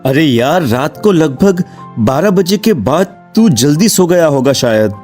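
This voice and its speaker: Expressive young male student, higher-pitched man acting. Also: a little slow, soft background music. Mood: curious. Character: Aman, young male student, anxious yet curious. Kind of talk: dramatised Hindi cartoon horror story dialogue.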